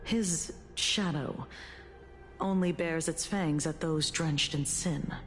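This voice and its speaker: A woman voicing a composed, reflective investigator in a video game, with measured, pensive delivery. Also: low-pitched, faint music behind. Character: composed, reflective female investigator writing case reports. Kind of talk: dramatic video game voice acting.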